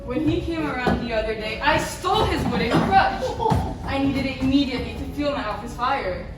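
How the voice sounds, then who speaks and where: A woman's voice: sits high, brisk, natural voice. A woman playing a grumpy, miserly old man in a rhyming stage pantomime, declaiming scornfully. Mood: dismissive, gleeful. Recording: echoey stage, distant mic, loud music.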